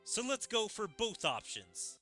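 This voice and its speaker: A man's voice: high voice, sing-song, unhurried, sharp tone, faint music behind. A man streaming a story game, reading its dialogue aloud theatrically and musing on it in asides.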